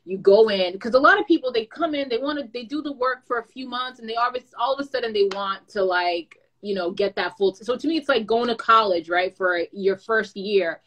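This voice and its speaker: A woman who is a content creator and brand manager, giving advice in a lively, chatty way with playful asides. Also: fairly high pitch, fairly quick, hardly any pauses. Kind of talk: casual advice talk.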